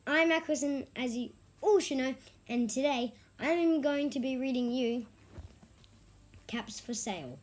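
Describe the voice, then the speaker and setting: A high-pitched storyteller reads a picture book aloud at a slow pace. The delivery swings up and down a lot, with an informal, youthful manner and some halting repeats. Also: expressive. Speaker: story reader and host.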